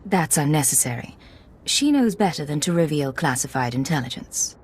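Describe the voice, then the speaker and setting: Cool, composed secret agent. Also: female voice, low-pitched, expressive, moderate pace, breathy edge. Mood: cool, firm. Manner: clipped, formal, controlled. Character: cool, composed female undercover intelligence agent, adult. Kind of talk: video game voice acting.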